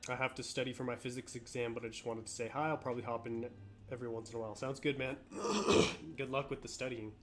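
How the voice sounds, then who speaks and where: A man hosting a casual live stream while sick, a bit nasal with frequent throat clearing, greeting viewers by name in a relaxed way. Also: medium pitch, fast, over music.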